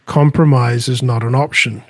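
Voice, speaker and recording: Earnest man with halting restarts. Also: mid-range voice, fairly even intonation, no echo, studio quality. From England, raised in Spain. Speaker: artist and guest. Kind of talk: conversational interview answers.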